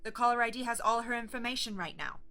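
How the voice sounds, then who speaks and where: Sharp, wary young woman. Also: fairly high pitch, fast. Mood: probing. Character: Faith, wary combative young woman refusing to open the door. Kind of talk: naturalistic audio drama acting.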